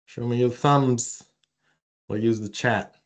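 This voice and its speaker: A man's voice: mid-range voice, steady tone, slow pace. A man from Italy with an Italian accent, presenting an online workshop and chatting with the audience, with many fillers and restarts. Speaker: research communication presenter.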